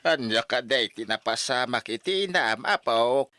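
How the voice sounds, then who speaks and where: Bright-voiced concerned old grandfather. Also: mid-range voice, expressive, moderate pace, faint music behind. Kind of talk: old radio drama acting in Ilocano.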